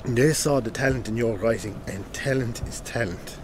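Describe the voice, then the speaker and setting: An actor playing a proud, emotional middle-aged Irish father in a radio drama, earnest, tender and halting, with car noise around him. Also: mid-range voice, natural voice. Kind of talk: naturalistic radio drama dialogue. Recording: loud car interior noise.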